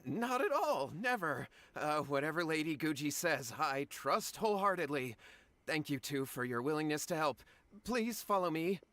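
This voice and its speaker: A man's voice: a little high, very animated, normal speed, pushed and bright. An actor playing an anxious, deferential young man in a video game, speaking in an earnest, polite and imploring way. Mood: humble. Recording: background music under the voice.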